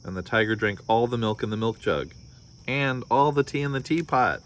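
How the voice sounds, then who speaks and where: A man's voice: mid-range voice, expressive, moderate pace. An American man reading a children's story aloud, breaking off for wry, casual asides. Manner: wry, joking commentary. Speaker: storybook reader.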